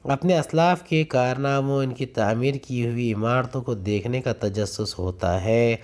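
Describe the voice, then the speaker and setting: A man's voice: mid-range voice, measured pace, darkish tone. A man, a school teacher reading textbook questions and answers aloud in an instructional way, point by point. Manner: instructional, announcing numbered points.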